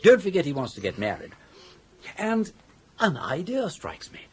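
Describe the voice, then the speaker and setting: An actor playing a genial, scheming older upper-class English gentleman with an urbane, florid, self-assured manner in a radio comedy drama. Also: fairly high pitch, huge pitch swings, moderate pace, slight background noise.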